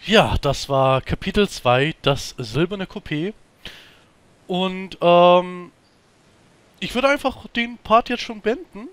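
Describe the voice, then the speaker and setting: Man, fairly high voice, dry sound, informal let's play host. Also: lively intonation, slow, fairly bright tone, smooth, dry recording. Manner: informal, explanatory. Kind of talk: casual chat.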